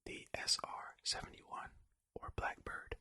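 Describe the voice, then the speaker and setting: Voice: measured pace, sharp tone. A host whispering an ASMR reading of facts, with rambling, jokey, self-deprecating asides in a casual way. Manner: whispering throughout. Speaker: host of a video channel.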